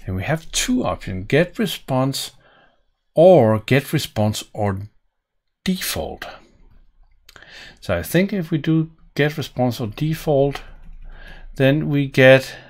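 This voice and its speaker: Slow-talking Danish man coding. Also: medium pitch. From Denmark. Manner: musing, thinking aloud while typing. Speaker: programming tutorial host. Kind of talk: live coding walkthrough. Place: home office, indoors. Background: keyboard typing and clicks.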